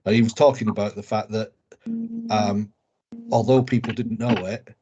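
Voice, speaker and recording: A man's voice: lowish voice, steady tone, moderate pace, warm tone, breathy edge, highs cut off. An English man co-hosting a podcast, talking casually with many ums and restarts. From England. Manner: rambling with many fillers and restarts. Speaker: podcast co-host. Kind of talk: casual sports chat.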